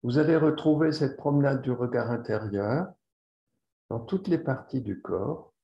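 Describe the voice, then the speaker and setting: A man leading a guided yoga relaxation as the instructor, speaking deliberately and repeating phrases for emphasis. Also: mid-range voice, vocal fry, mellow. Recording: roomy echo, slightly dull sound.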